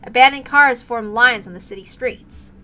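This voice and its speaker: A woman streamer reads a story aloud in a high, bright voice. Her delivery is dramatic narration, close on the mic. Soft music plays underneath. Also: normal speed. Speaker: streamer reading a visual novel aloud.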